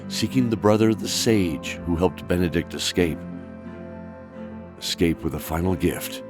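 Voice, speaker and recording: Low voiced guy narrating a fantasy show intro, theatrical and measured, music playing under him. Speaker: host and narrator. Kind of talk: scripted dramatic narration.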